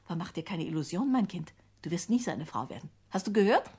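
A woman playing a domineering, spiteful old mother in a radio play, sharp, needling and commanding. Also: on the low side, sing-song, fast talker, breathy edge, exaggerated voice. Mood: furious, accusing. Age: older.

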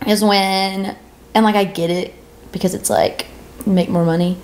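A woman's voice: medium pitch, steady tone, a little slow, darkish tone. A young adult woman co-hosting a podcast in casual chat, with a teasing, incredulous way of asking questions. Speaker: podcast co-host. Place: living room, on a couch.

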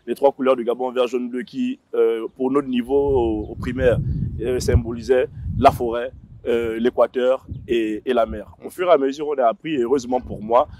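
A man's voice: mid-range voice, fairly even intonation, moderate pace, a little mellow, a little hiss or hum. A man in his thirties, a guest on a panel, speaking with many fillers and restarts, recorded outdoors on a lapel mic. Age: 30s. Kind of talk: panel discussion. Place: outdoor set on a city square.

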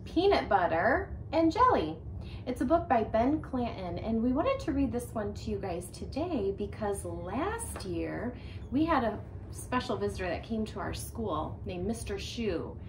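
A woman's voice: on the high side, big pitch swings, unhurried, background noise. A middle-aged American woman reading a children's book aloud like a teacher. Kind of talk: children's storybook read-aloud.

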